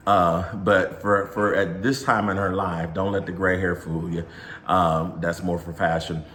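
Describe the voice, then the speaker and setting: A man co-hosting a casual faith talk, soft-spoken and affirming. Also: low voice, level tone, a little slow, echoey room, a little hiss or hum.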